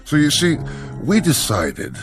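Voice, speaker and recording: An actor playing an uneasy, tactful school headmaster, speaking formally and diplomatically with some hesitation in a vintage television drama. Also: male voice, on the high side, huge pitch swings, unhurried, music in the background. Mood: placating.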